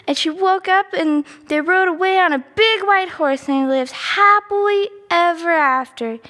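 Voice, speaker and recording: A woman's voice: extremely high pitch, fairly bright tone, heavily acted. A woman playing a chatty, easily sidetracked little girl who rambles through a bedtime story with naive asides and self-corrections. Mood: cheerful, chatty. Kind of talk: children's storybook monologue. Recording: long reverberant room echo.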